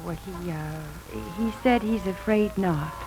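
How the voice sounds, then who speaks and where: An actress playing a gentle, hopeful young wife and mother, tender and expressive in 1940s radio drama style. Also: on the low side, a little slow, low-quality recording. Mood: sad, hesitant. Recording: vintage radio recording with music.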